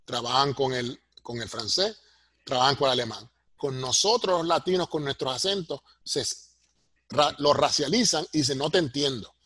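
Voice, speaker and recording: Husky Puerto Rican man, professorial. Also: medium pitch, expressive, normal speed, bright, forceful tone, no room sound. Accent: Puerto Rican Spanish. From Puerto Rico. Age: middle-aged or older. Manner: professorial, punctuates points with tag questions. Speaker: sociology professor, interview guest. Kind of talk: conversational explanation in an interview.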